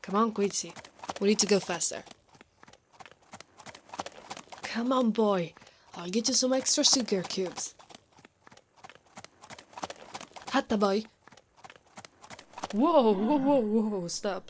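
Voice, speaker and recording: A woman playing a brave, sardonic female knight in an ASMR roleplay, breathy and often whispering, muttering dryly to herself. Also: medium pitch, background noise, natural voice. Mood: urgent, fond. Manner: muttering to herself, dry and sarcastic.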